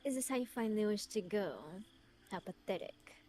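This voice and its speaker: A woman's voice: mid-range voice, fairly quick, dark tone, slight background noise, natural voice. Woman putting on a scornful, menacing male Reaper. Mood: cold threat. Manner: stilted attempt at a foreign accent.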